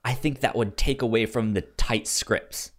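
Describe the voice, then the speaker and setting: A man co-hosting a film podcast in casual chat, haltingly repeating words as he thinks. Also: mid-range voice, fairly even intonation.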